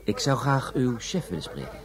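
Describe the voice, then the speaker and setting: A man's voice: mid-range voice, sing-song, normal speed, crowd noise, natural voice. An actor playing a polite, insinuating Hong Kong businessman with formal manners in a radio drama. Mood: courteous, insistent. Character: polite, smooth male Hong Kong import-export businessman.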